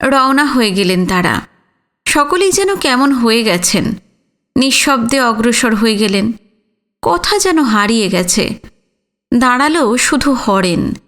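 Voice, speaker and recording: A woman narrating a literary audio story in a measured, solemn manner with deliberate phrasing. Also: on the high side, expressive, fairly bright tone.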